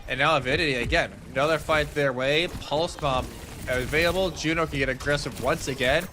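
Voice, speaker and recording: A man talks through a competitive game as a casual, analytical caster. His voice is slightly high and clearly bright and forward, with faint music underneath. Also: fairly quick. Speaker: esports commentator. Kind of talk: casual analytical match commentary.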